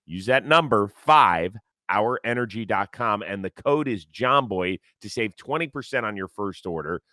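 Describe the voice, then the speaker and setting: A male sports show host with a bright, forceful voice that swings up and down a lot. His voice sounds a touch hoarse, as if he slept badly. The recording is close and dry, with no room behind it. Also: mid-range voice, no echo. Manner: lively, animated, reads ad copy with energy. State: a little hoarse from lack of sleep. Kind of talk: casual sports talk show.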